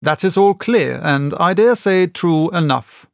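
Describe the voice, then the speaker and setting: A man voicing a composed gentleman doctor in an audiobook, courteous, firm and probing as he reasons. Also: fairly high pitch, lively intonation, a little slow, gravelly, no room sound, clean studio sound, pitched above the performer's own voice. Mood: calm, questioning. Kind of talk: audiobook character reading.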